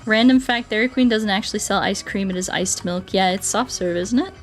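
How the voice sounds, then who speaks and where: A woman doing a casual gaming livestream, rambling playfully and thinking out loud as she chats with viewers. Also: medium pitch, a little fast, faint music behind. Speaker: streamer playing Minecraft and chatting with viewers.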